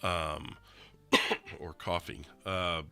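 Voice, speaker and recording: A young man streaming a game, sounding nasal and rough after being sick, explaining things casually with lots of fillers. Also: low-pitched, very slow, faint music behind. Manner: relaxed, rambling explanations with many fillers. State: nasal and rough from recent illness. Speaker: streamer explaining a roleplay server. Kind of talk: casual gameplay commentary.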